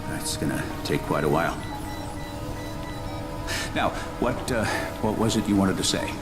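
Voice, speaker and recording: A nervous lab doctor whose pitch leaps around when he gets worked up, repeating words as he stumbles ahead. Loud game music plays underneath. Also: a little slow. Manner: nervous, stammering, excitable. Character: anxious middle-aged male scientist. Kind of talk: video game cutscene acting. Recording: loud game score behind.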